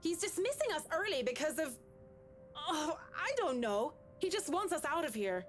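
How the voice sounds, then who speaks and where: A woman's voice: high-pitched, sing-song, moderate pace, faint music behind. A woman playing a blunt, cheeky crew worker in a visual novel game, brash and informal. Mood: frank.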